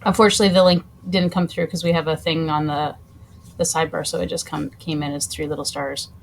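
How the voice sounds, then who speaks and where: A woman's voice: low voice, steady tone, quick pace, vocal fry, slight background noise. A woman moderating an online panel, chatting informally and encouragingly with the presenter and viewers.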